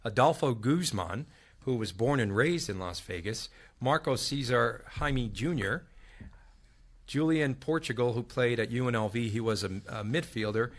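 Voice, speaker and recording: A man's voice: mid-range voice, normal speed. A man with an American accent hosts a sports panel show. He runs through results and news items in a mid-range voice and tosses questions to the others.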